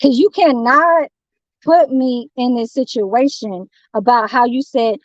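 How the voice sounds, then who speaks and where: A woman's voice: a little high, unhurried, no echo. A woman from San Francisco ranting on a livestream, swearing constantly and talking in a rambling, confrontational way. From San Francisco, United States. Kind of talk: heated livestream rant. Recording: dull lo-fi dry stream audio.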